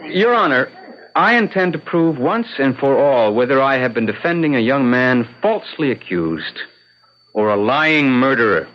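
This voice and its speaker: A man's voice: mid-range voice, lively intonation, a little hiss or hum, lightly acted. Man acting a theatrical defense attorney, sweeping intonation. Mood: grave, dramatic. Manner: dramatic, deliberate courtroom oratory. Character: theatrical, confident male defense attorney addressing a court. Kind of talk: old-time radio drama acting. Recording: dull vintage radio broadcast recording.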